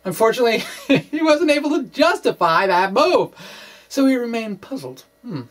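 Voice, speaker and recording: A middle-aged man giving an informal explanation to camera as a physics blogger, halting and often repeating words. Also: very high voice, sing-song, a bit bright. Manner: halting, hesitant, full of repeated words and tags like "okay?"; chuckles as he talks. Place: small indoor room with bookshelves.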